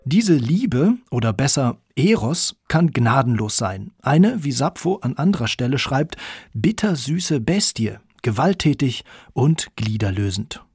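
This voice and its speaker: A man's voice: medium pitch, big pitch swings, unhurried, darkish tone, slightly rough, no echo, clean studio sound. A man reading scripted narration as the reporter of a radio feature.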